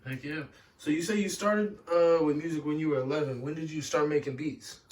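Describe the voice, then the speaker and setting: A man's voice: a little high, steady tone, fairly quick, darkish tone, recorded from a distance. A man interviewing a music producer, asking plain questions and giving short affirming replies. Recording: voice from off-mic.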